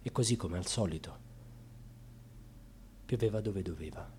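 An actor playing an intense, bitterly ironic male storyteller in a radio theatre monologue, with a husky, dramatic delivery that moves between murmurs and outbursts. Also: deep voice, moderate pace, a little hiss or hum, natural voice. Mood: hushed, sorrowful. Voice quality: husky, often dropping into breathy whispers. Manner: dramatic, swinging from hushed murmurs to loud outbursts.

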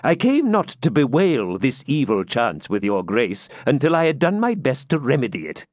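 A man's voice: mid-range voice, huge pitch swings, normal speed, a little mellow, dry recording, studio quality. A man voicing a shrewd, controlled counsellor to a medieval prince, speaking in formal archaic courtly language. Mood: businesslike.

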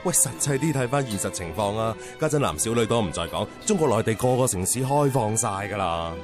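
An actor playing a smug, blunt suitor who lectures pompously in Hong Kong Cantonese in a radio drama. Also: male voice, on the low side, animated, fairly quick, over music, natural voice. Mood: smug, preachy. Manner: pompous, preachy.

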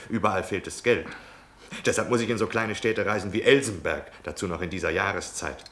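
A man's voice: low voice, a bit bright, a little hiss or hum. An actor playing a talkative, nosy, self-important travelling salesman in a German radio play. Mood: chatty, grumbling. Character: chatty, pushy, cocksure travelling salesman in knitwear.

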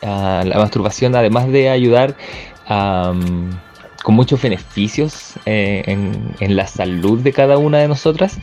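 A man's voice: fairly low pitch, measured pace, darkish tone. A man giving a reflective spoken monologue as a guest contributor, hesitantly searching for his words. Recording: music bed under the voice.

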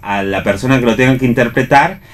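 Man, Argentine accent, medium pitch, theatre show host, reflective, halting, word-searching repetitions. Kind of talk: unscripted cultural radio discussion.

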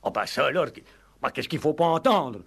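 A man's voice: on the high side, lively intonation, brisk, vocal fry, fairly bright tone, breathy edge, full character voice. An actor playing a nosy, grumbling village man in a French radio play, colloquial and indignant, on an old recording.

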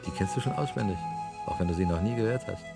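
An actor playing a brooding, weary cellar worker full of doubt in a science-fiction radio play, intense and dropping into hushed whispers. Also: male voice, sits low, quick pace, gravelly, over loud music. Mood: subdued.

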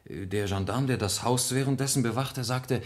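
A man's voice: medium pitch, normal speed, natural voice. A man acting as a correct, dutiful police lieutenant, reporting formally in a German radio play. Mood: dutiful, factual.

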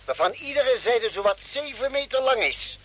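Animated, high-voiced, observant astronaut. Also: male voice, normal speed, husky, heavily acted. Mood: observant, alert. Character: observant male astronauts on a Mars expedition. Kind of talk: old Dutch science fiction radio drama acting. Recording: noisy old radio drama recording.

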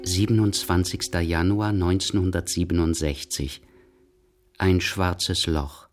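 A man with a standard German accent reads scripted literary radio narration in a measured, deliberate way. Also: sits low, fairly even intonation.

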